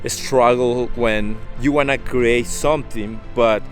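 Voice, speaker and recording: A man's voice: mid-range voice, steady tone, slow. A man from Ecuador with a Spanish accent tells his personal story in a casual, earnest vlog style, in non-native English. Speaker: vlogger. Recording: music under the voice.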